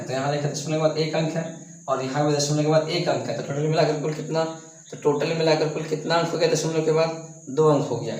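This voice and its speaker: A young man teaching math on a board, speaking softly with a sore throat, coughing and restarting his sentences. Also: on the high side. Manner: repeats and restarts himself, coughs now and then. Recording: echoey room.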